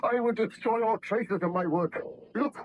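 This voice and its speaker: An actor playing a tormented scientist baron, declaiming intensely and formally in a 1930s radio horror drama. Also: male voice, high voice, moderate pace. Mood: frenzied. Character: tormented scientist baron, male, overwrought, horrified by his creation. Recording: dull lo-fi old radio recording.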